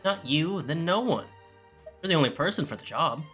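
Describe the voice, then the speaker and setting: A man's voice: a little high, expressive, moderate pace, fairly bright tone, soft background music. A man reading video game dialogue choices aloud as a gaming video creator.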